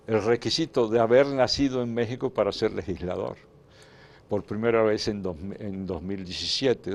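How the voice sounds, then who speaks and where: A man from the Dominican Republic, a guest in a political interview, speaking in measured phrases with frequent hesitations. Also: mid-range voice. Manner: measured, hesitant with many "eh" fillers. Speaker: interview guest, former legislator.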